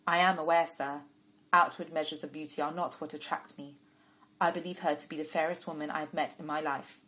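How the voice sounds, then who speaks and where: A woman's voice: low voice, fairly even intonation, brisk. Woman voicing an earnest impetuous young man. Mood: sincere. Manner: loud, urgent calling.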